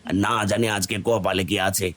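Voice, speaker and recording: A man's voice: fairly low pitch, fairly even intonation, a little fast, a bit bright, faint music behind, lightly acted. A man playing a lazy, stingy village husband in a cartoon, grumbling and complaining. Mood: annoyed. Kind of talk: Bengali cartoon voice acting.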